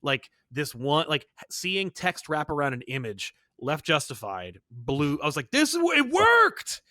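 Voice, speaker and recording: A man's voice: on the high side, wildly swooping pitch, unhurried, pushed and bright, no room sound, clean studio sound. A man co-hosting a podcast, chatting casually about pop culture in an opinionated way and often acting out imagined dialogue. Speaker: podcast co-host.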